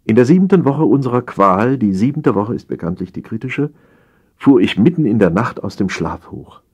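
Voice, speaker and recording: A man's voice: medium pitch, lively intonation, moderate pace, a little mellow, slightly rough. A man narrating a satirical audiobook from a script, with a theatrical, dryly comic delivery.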